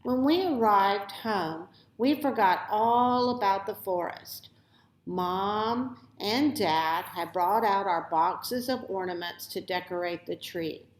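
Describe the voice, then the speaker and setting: A woman reading a children's story aloud with expressive, deliberate phrasing in a roomy, echoey recording. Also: medium pitch. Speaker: storybook reader.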